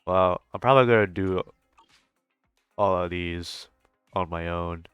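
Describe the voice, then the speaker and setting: A man's voice: low voice, measured pace, fairly bright tone, smooth, no room sound, soft background music. A man giving casual, rambling reaction commentary on a video game as a YouTuber, informal and sometimes swearing.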